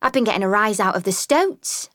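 A woman voicing an excitable, cheeky female mole in a storybook reading, telling tales with glee. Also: mid-range voice, a little fast, no echo, clean studio sound, pitched above the performer's own voice, natural voice. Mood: gleeful. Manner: chatty, gleeful storytelling. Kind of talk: audiobook character reading.

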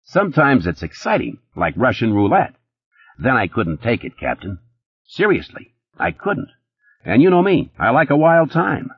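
A man voicing a jittery, boastful, sleazy young playboy who talks fast and nervous, his voice pitched well above his own. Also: wildly swooping pitch, husky, no room sound, muffled recording, exaggerated voice. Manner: rapid, nervous, boastful, pitched high and pushy.

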